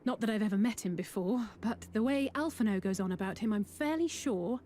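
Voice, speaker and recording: A woman's voice: mid-range voice, expressive, fairly quick, mellow, a little hiss or hum, natural voice. A woman voicing an eager young scholar in a fantasy game. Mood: curious.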